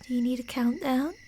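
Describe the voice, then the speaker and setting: A woman playing a delusional, playfully menacing yandere killer in an ASMR roleplay, teasing in a sing-song way and slipping into breathy whispers. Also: sits high, warm tone, a little hiss or hum, rough recording. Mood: predatory patience.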